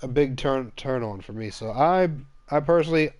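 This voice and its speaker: A man's voice: medium pitch, expressive, normal speed, darkish tone, no echo, clean studio sound. A man with a cold and a stuffed-up voice, hosting a casual gaming stream and rambling to viewers. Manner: rambling, chatty, apologetic. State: congested with a cold. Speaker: stream host. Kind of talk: casual gaming podcast chat.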